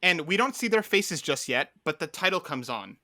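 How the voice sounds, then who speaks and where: Male podcast co-host, bright slightly high voice, casual animated storyteller, dry close sound. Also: moderate pace, dry recording. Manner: animated storyteller, peppers talk with "right?".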